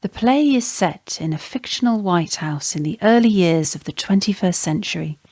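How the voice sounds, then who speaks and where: A woman's voice: on the low side, lively intonation, moderate pace, darkish tone, no echo. A woman announcer reading a scripted introduction to a radio play.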